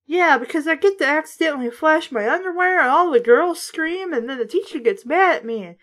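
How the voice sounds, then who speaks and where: A woman's voice: high voice, expressive, brisk, fairly bright tone, pitched above the performer's own voice. A woman voicing a bratty young boy in a storybook reading, defiant and boastful. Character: bratty, cocky young boy, the little brother. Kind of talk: children's storybook narration.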